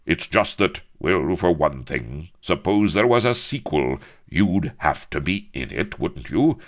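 Brief: man voicing a disdainful upper-class captain, lively pitch. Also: measured pace. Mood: exasperated. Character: haughty, aristocratic naval captain, tall and disdainful.

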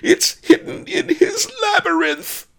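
A man's voice: extremely high pitch, wildly swooping pitch, slow pace, vocal fry, sharp tone, pitched above the performer's own voice, full character voice. A man voicing a crooked, cowardly, blustering pawnbroker in an audiobook. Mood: terrified, wailing. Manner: snarling, blustering, wailing when frightened.

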